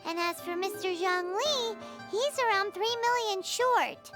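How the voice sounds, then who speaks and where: A woman voicing a small, excitable, blunt fairy companion in a video game, with a squeaky childlike voice. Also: measured pace. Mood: exasperated. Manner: excitable, blunt, refers to self in third person. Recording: children's jingle music behind. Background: tinkling game music.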